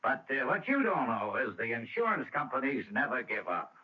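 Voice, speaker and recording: A man's voice: mid-range voice, sing-song, moderate pace, close to an everyday voice. A man acting in a radio drama as a smug, dogged insurance detective with slangy, insinuating tough talk. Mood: smug, relentless. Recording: muffled old radio recording.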